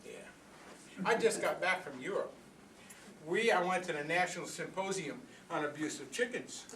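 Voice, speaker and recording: Older man playing a high-pitched, folksy old rooster. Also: moderate pace, pushed and bright. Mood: proud, reflective. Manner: folksy, rambling, conversational storytelling with repeated phrases. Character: proud, philosophical old rooster turned activist, folksy and earnest. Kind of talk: comic monologue performed live for an audience. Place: indoor workshop room before a group. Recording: echoey room, distant mic, background noise.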